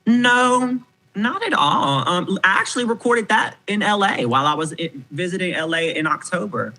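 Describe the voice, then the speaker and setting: A man in his twenties, a podcast guest talking casually, frank and animated, swearing freely. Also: sits high, moderate pace, poor audio. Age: 20s.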